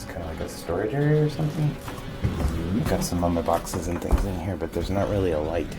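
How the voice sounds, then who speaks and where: An actor playing an uneasy, compliant new homeowner in a fiction podcast, a man talking casually in a halting, hedging way. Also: low-pitched, over loud music, natural voice. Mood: awkwardly compliant. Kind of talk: naturalistic fiction podcast dialogue.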